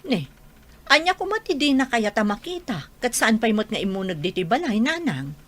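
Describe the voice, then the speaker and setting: A sharp, nagging Ilocano mother. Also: a little high, sing-song, normal speed. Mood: exasperated. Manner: scolding, emphatic. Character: stern, nagging mother of a schoolgirl. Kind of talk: Filipino radio drama acting.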